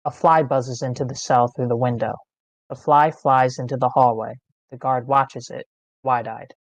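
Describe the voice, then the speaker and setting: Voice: medium pitch, fairly even intonation, moderate pace, no room sound, lo-fi sound. Stage-direction narrator: terse dramatic phrasing, scripted table read, close dry sound. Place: online meeting, close dry mic.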